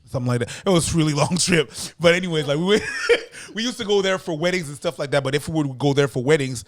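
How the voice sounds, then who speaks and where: A man's voice: on the high side, quick pace, no room sound, studio quality. A middle-aged man who grew up speaking French, telling stories as a guest in a casual interview, with lots of stammered restarts. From Montreal, Canada. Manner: animated storyteller, stammering repeated restarts. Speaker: guest, street dancer.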